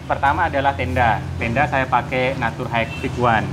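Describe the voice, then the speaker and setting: A man in his thirties, sitting on a porch floor and explaining his hiking gear step by step in a relaxed, casual way with many fillers, recorded on a lapel mic. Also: mid-range voice, normal speed, over music. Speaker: hiking community host showing gear. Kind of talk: casual show-and-tell explanation. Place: outdoor porch by a house, seated on the floor. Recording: noisy street ambience with passing cars.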